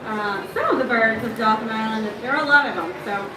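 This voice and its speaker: Woman host introducing a guest, higher voice, friendly, filler-heavy, distant mic in an echoey room. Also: background noise. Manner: friendly, a bit halting, with ums. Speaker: aquarium educator introducing a speaker. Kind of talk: introduction at a public talk. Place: room at an aquarium.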